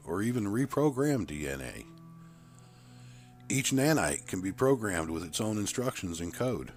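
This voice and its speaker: A man with a very low voice narrates a written story in a steady, even reading tone. Soft background music runs faintly underneath.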